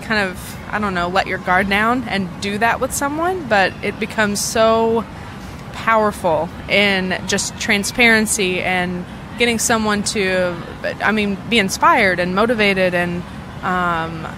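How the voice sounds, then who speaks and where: A woman's voice: mid-range voice, unhurried. A woman physical therapist in an outdoor interview, thoughtful and searching as she explains her ideas. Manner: thoughtful, searching, with fillers and restarts. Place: outdoors in a city, kids playing nearby. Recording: street noise behind.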